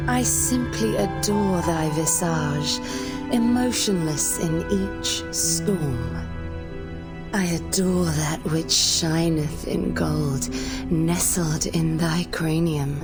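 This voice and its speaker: Slow, low, courtly cult leader woman. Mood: adoring, sinister. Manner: archaic, formal, theatrical phrasing. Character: soft-spoken, imperious cult leader woman, courtly and menacing. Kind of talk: dramatic video game acting. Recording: music under the voice.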